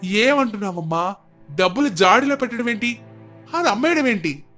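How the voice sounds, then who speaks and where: A woman voicing an earnest young farmer son in a storybook tale, pitched lower than her own voice. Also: animated, moderate pace, fairly bright tone, faint music behind. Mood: angry.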